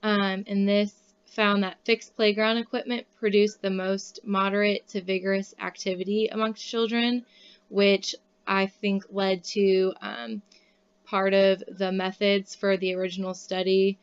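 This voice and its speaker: A young woman from Kansas City gives a class presentation in a smooth, clear voice. She keeps a level tone and reads from notes, with frequent "um" fillers. The recording sounds slightly dull. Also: medium pitch. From Kansas City, Missouri, USA. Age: young adult. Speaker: student presenter.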